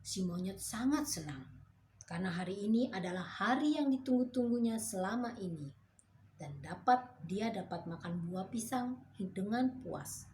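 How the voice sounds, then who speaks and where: A woman narrating a children's fairy tale in a slow, clear storybook manner. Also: mid-range voice, mellow, slight background noise.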